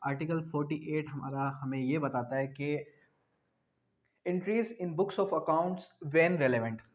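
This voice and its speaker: Male lecturer, Pakistani accent, slightly high pitch, didactic, repeats key phrases, dull lo-fi recording. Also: slow pace. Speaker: law lecturer. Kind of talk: explanatory lecture, reading out legal articles and explaining them. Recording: dull, lo-fi audio.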